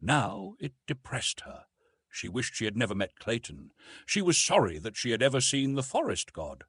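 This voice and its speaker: Expressive male storyteller, lively pitch, slightly husky. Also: moderate pace, dry recording, studio quality. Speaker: audiobook narrator. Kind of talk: scripted narration of an adventure novel.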